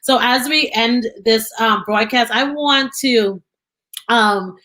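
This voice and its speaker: A woman's voice: a little high, takes it slowly, pushed and bright, low-quality recording. A woman hosting a live broadcast, upbeat and addressing the audience directly. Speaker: host. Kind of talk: casual live broadcast chat.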